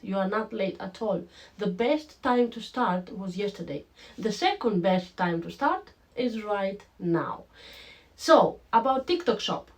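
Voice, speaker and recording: A woman's voice: mid-range voice, measured pace. A woman from Romania with a mixed Romanian, Greek and slightly British accent, chatting casually to camera in a vlog at home. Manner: chatty and animated, addresses viewers directly. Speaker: vlogger. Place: home room with a lamp.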